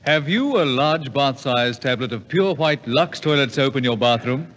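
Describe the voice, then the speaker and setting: A man's voice: medium pitch, expressive, moderate pace, a bit bright. A man reading a scripted 1950s radio advertisement as a commercial announcer. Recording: muffled 1950s radio, long reverb.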